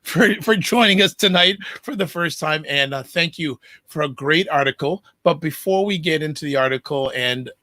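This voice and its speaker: A man's voice: fairly high pitch, moderate pace, hardly any pauses, sharp tone, no echo, clean studio sound. A man hosting an interview show, friendly and playful, often stumbling over his questions. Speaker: show host.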